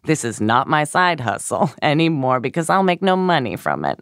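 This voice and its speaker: A woman co-hosting a podcast, telling stories in a chatty, animated way with lots of fillers. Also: low-pitched, normal speed, clear, resonant tone, no room sound, clean studio sound. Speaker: podcast co-host. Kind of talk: casual chat.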